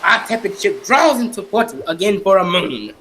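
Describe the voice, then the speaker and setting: A man's voice: high voice, very animated, moderate pace, sharp tone, slight background noise, heavily acted. A man playing a bitter, cursed ghost ship captain in declamatory old-time radio melodrama. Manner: declamatory, anguished, vengeful.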